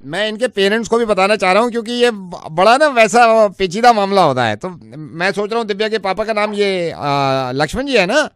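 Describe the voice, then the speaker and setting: A man's voice: high-pitched, lively intonation, fairly quick, hardly any pauses, a bit bright, smooth, no echo, clean studio sound. A man playing a chatty, teasing clinic doctor on a prank phone call, mixing English into his Hindi. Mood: officious, threatening. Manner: code-switches between Hindi and English.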